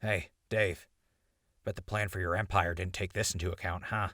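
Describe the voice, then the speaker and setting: A man's voice: low voice, steady tone, moderate pace, sharp tone, no room sound, clean studio sound. A man playing a wry, loyal, talkative ghoul wastelander in a post-apocalyptic audio drama. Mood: wry resignation.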